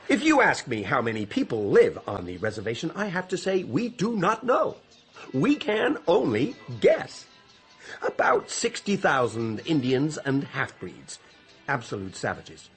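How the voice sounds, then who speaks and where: Pompous, animated male official. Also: a little high, measured pace, faint music behind, full character voice. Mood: grimly relishing. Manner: pompous, droning official recital with throat-clearing. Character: officious, long-winded, condescending reservation warden. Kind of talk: radio drama acting.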